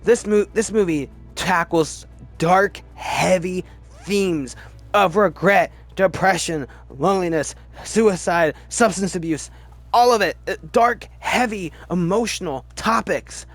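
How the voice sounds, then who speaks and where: A man giving casual, opinionated commentary as a video host, emphatic and halting, often restarting his sentences. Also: high-pitched, no echo, faint music behind. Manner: chatty, halting, restarts sentences mid-word. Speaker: movie review video host. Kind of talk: casual ranked-list movie commentary.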